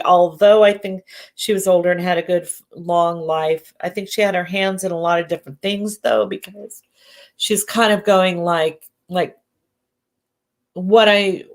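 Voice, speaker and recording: Stuffy-nosed lady doing psychic readings on a livestream, keeps stopping and correcting herself. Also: medium pitch. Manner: halting, frequent restarts and self-corrections. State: congested. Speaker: psychic medium. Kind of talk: live-stream readings, casual chat.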